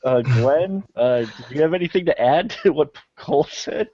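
Casting: male panel host, casual, moderately high pitch, lo-fi dry sound. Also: expressive, moderate pace, warm tone, husky, no echo.

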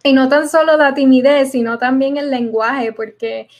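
A young woman, a native English speaker, giving her testimony as an interview guest, soft-spoken and slipping English words in. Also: high-pitched, steady tone, moderate pace.

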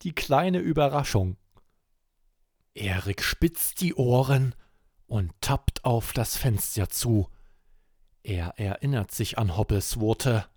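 A man hosting a children's podcast, narrating a scripted bedtime story in an expressive, deliberate way. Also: medium pitch, no echo, studio quality.

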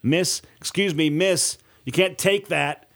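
A man's voice: on the high side, slow, gravelly, a bit bright, pitched above the performer's own voice, heavily acted. A man voicing an officious, unhelpful woman nurse at a hospital desk in an audiobook. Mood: scolding.

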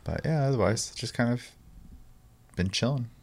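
A man's voice: mid-range voice, moderate pace, darkish tone. A young man co-hosting a casual gaming podcast, laid-back and blunt in his opinions. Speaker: podcast co-host.

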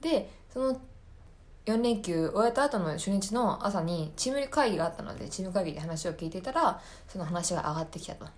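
A woman's voice: mid-range voice, animated, fairly quick, darkish tone. A woman hosting a podcast, talking casually about her own life in a hesitant, meandering, self-deprecating way. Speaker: podcast host.

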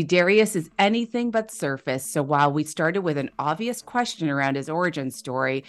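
Low-voiced woman hosting a podcast. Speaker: podcast host. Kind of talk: scripted podcast intro and interview.

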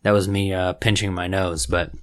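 Texas guy on his podcast, kinda stuffy and nasal, lowish voice, dry close sound. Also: little pitch movement, moderate pace, fairly bright tone, dry recording. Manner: chatty, friendly, lots of restarts and fillers. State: congested, nasal from allergies. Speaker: podcast host. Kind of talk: casual solo podcast talk. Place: Round Rock, Texas area home studio.

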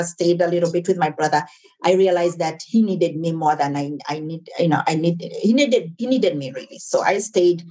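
Ugandan-born woman in her 40s, kinda husky and lowish voice, earnest, keeps restarting sentences. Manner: earnest, restarts and repeats words. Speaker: guest, entrepreneur. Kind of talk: casual interview conversation.

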